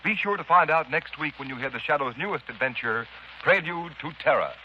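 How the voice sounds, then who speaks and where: A male radio announcer reading scripted lines in a crisp, formal manner, in a muffled 1930s radio broadcast. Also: a little high, expressive, fairly quick, heavy background noise.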